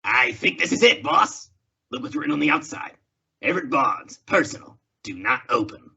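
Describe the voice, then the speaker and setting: An actor playing a nervy, belligerent crook's henchman in an old-time western radio drama, with rough, blustering, slangy talk. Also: a little high, huge pitch swings, moderate pace, bright, forceful tone, highs cut off, heavily acted. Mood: gruff, impatient.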